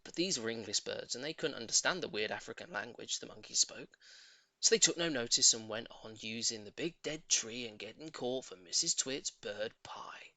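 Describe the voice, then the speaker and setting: A man's voice: mid-range voice, animated, moderate pace, creaky, pushed and bright, dry recording, low-quality recording. A man narrating a children's story as a scripted audiobook, in a theatrical, emphatic way.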